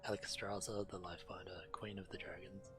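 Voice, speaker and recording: Low-voiced man narrating a video game. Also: level tone, a little fast. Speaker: game streamer. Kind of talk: reading quest text aloud with casual gameplay commentary. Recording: game music playing behind. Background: video game music.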